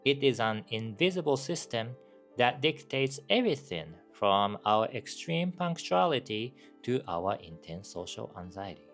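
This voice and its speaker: A man's voice: mid-range voice, unhurried. Japanese guy w/ japanese accent explaining stuff, lots of rhetorical questions, faint music under it. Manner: animated, rhetorical questions and asides. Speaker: campus minister. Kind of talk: scripted explainer commentary.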